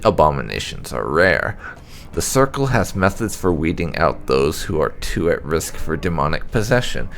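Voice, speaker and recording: A man's voice: low-pitched, a little hiss or hum. A man hosting a gaming channel, reading lore aloud as narration.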